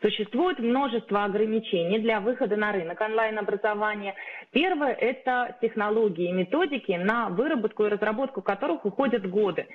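A woman in her thirties, a company founder, giving a steady, rehearsed business pitch to investors over a video call. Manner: steady, rehearsed delivery with occasional filler sounds. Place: indoor room, video call. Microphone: webcam or laptop mic on a video call. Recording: narrow phone-like sound.

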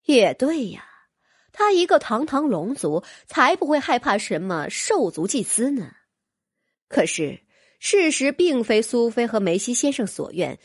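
A woman's voice: a little high, darkish tone, slightly rough, dry recording, studio quality. A woman narrating a fantasy audiobook from a script, as a storyteller.

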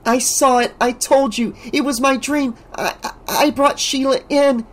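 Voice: mid-range voice, lively intonation, darkish tone, pitched above the performer's own voice. A reader voicing a trembling, haunted teenage girl. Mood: shaken. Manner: tremulous, slow, pleading. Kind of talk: audiobook character voice.

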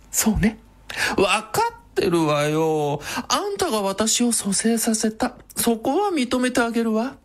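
A man's voice: sits high, big pitch swings, slow, heavily acted. An actor playing a flamboyant, effeminate, scheming villain man in a radio drama, campy, theatrical and wheedling. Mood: conceding, coaxing. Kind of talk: Japanese radio drama acting.

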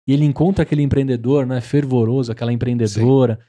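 Enthusiastic podcast host, warm voice. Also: male voice, mid-range voice, steady tone, fast talker. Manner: enthusiastic, promotional. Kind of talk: casual business podcast chat. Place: podcast studio. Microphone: studio mic on boom arm, headphones.

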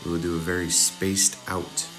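A man's voice: sits low, moderate pace, background noise. A young man casually explaining chainsaw carving cuts step by step, as an instructor. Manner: relaxed, talks viewers through each cut step by step. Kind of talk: casual tutorial voice-over.

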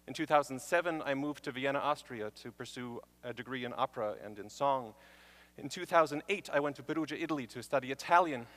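A young man telling self-mocking stories in a stage talk. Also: fairly high pitch. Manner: animated and self-mocking storytelling. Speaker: speaker. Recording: long hall reverb.

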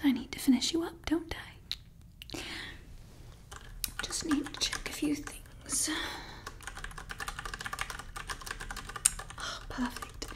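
A woman whispering as a doting evil scientist. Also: high-pitched. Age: young adult. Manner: whispered, theatrical, self-important. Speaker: ASMR creator. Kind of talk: ASMR roleplay. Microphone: close mic at face level.

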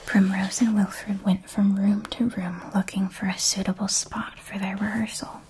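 A woman reading a children's story aloud in a soft, calm ASMR whisper. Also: medium pitch, a little hiss or hum. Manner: slow, calm and hushed.

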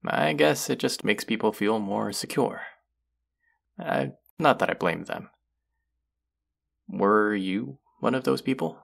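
Casting: male voice actor as a playful young barista, low gravelly voice, lively teasing delivery. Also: slow, long pauses, full character voice. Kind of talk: audio roleplay for a listener.

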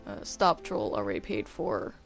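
A woman's voice: extremely low pitch, very animated, moderate pace, gravelly, faint music behind. A woman chatting casually while playing a game, hesitant and stammering, often sounding apologetic. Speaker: gamer playing a multiplayer game.

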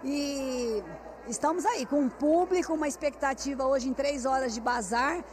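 A woman's voice: high voice, normal speed, a little hiss or hum. Enthusiastic older Brazilian woman. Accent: Brazilian Portuguese. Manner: warm, emotional, enthusiastic. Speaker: craft teacher, fair organizer. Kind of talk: interview. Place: large indoor craft fair hall. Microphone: reporter's handheld mic.

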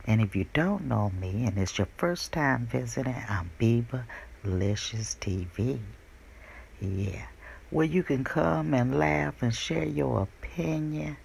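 A middle-aged woman hosting a casual storytime vlog at home, with drawn-out emphatic asides and hums. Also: low-pitched, gravelly, darkish tone. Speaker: vlog host. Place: small room at home. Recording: faint background noise.

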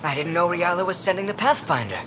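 A woman's voice: on the low side, animated, fast, a bit bright, a little hiss or hum. A woman voicing a haughty, irritable scientist in a video game, curt, sarcastic and self-important. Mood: indignant. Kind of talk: video game dialogue acting.

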